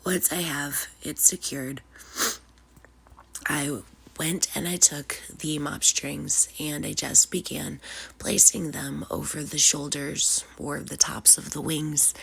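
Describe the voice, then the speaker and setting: A woman hosting a relaxed craft tutorial while fighting a cold, and her sniffles come through. Her voice sits very low, with a gravelly, fried edge. She talks slowly and casually, with plenty of "uh" and "um". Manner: casual, chatty, with frequent "uh" and "um". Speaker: craft channel host. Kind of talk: DIY craft tutorial narration.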